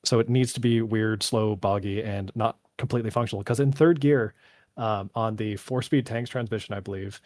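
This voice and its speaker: Tired male dev, low voice, dry close mic, rambly technical live Q&A. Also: steady tone, moderate pace, a little mellow, no room sound. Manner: rambling, technical, thinking aloud. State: voice getting tired after three hours. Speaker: game developer answering community questions. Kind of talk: casual live developer Q&A.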